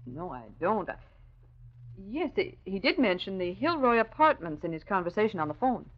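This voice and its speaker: Pert, animated newspaper secretary. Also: female voice, mid-range voice, moderate pace. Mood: helpful, businesslike. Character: pert, efficient young female newspaper secretary. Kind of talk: 1940s radio drama acting. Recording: dull old radio recording.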